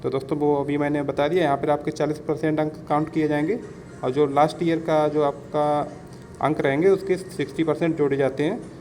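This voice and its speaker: A man's voice: on the high side, flat delivery, a little fast, a little mellow, low-quality recording. A man giving an informal explainer lesson as an instructor, repeating himself, addressing listeners as friends and mixing in English words. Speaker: exam-prep instructor. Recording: clear background noise.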